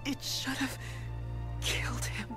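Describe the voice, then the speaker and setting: A woman playing a grave, commanding warrior superheroine in a game cutscene, solemn and hushed. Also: takes it slowly, close to an everyday voice. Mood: grave, challenging. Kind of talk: video game cutscene acting. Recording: quiet game audio under music. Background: game score.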